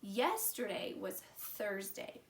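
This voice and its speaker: A woman's voice: medium pitch, very animated, slow. A woman hosting a preschool story time, talking to young children in a sing-song teacher's manner with simple questions. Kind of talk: children's lesson and read-aloud. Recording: roomy, distant mic with faint noise.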